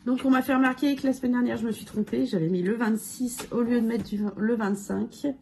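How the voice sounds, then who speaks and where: Hoarse woman counting her budget aloud. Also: a little high, fairly even intonation, a little fast, warm tone, a little hiss or hum. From France. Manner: counts money aloud, many fillers. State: hoarse from a cold. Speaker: budget vlogger. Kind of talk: casual budget review talk.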